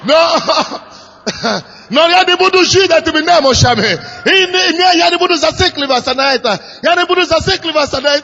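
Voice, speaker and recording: Fervent preacher, bright and high. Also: male voice, animated, normal speed. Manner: fervent, builds to shouts, repeats phrases. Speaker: pastor. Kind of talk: sermon leading prayer. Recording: dull lo-fi recording in a reverberant hall with music.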